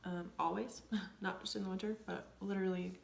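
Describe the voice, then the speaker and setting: A young woman coming down with a cold, chatting through a makeup tutorial in a rambling way, often dropping to soft near-whispers. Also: mid-range voice, flat delivery, fairly quick, gravelly, a little hiss or hum. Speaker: beauty vlogger. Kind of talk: casual makeup tutorial chat. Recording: echoey room.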